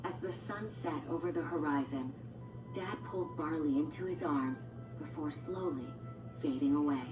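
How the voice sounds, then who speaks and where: A woman's voice: sits low, moderate pace. A woman narrating a scripted children's storybook as a read-along storyteller. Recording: echoey, distant, music bed. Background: music and sound effects.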